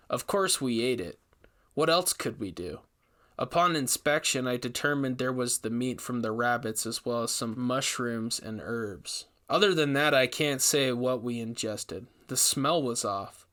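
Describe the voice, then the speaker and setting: A man's voice: medium pitch, fairly bright tone. Male storyteller reading a horror tale. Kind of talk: scripted first-person horror narration.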